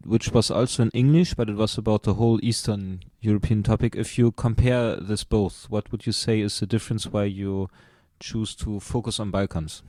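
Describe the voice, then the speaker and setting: A man asking slow, searching questions in a radio interview. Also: lowish voice, dark tone, no room sound, clean studio sound.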